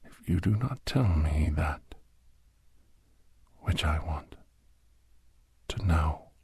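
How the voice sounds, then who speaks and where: Man playing a slow, whispering, menacing cultured villain. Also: a bass voice, expressive, darkish tone, full character voice. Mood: threatening. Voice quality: breathy whisper. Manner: slow, deliberate, halting, savoring phrases. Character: menacing, cultured captor, hungry island-dwelling werewolf villain. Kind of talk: ASMR villain monologue.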